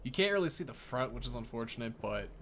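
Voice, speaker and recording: A man's voice: medium pitch, lively intonation, quick pace, fairly bright tone, slight background noise. A man in his thirties giving informal, loose game showcase commentary, thinking aloud as he plays. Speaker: gaming video creator. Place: small indoor room. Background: game music.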